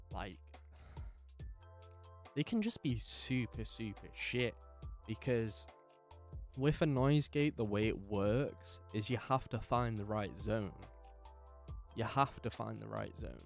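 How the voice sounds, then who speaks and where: Bassy-voiced man chatting about his mic. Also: a little mellow. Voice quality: bassy, slightly gravelly low voice. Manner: rambling, repeats himself, swears casually. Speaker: podcast host. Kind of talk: casual talk about microphone settings. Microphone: dynamic microphone, distance varies.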